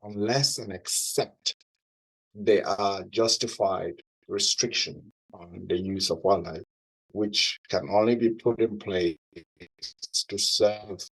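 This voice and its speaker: A very deep-voiced man addresses a class as a guest speaker. He talks slowly and formally, pausing often between his words. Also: husky, poor audio. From Cameroon. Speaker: guest speaker, wildlife manager.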